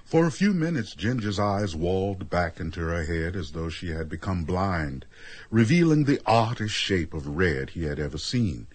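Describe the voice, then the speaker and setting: A man narrates a radio drama from a script. His voice is deep and husky, recorded dry with no room sound. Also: very animated, moderate pace, no echo.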